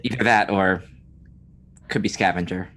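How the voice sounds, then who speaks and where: A tabletop roleplay player speaking casual in-character dialogue with measured, hesitant phrasing. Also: low voice, fairly bright tone.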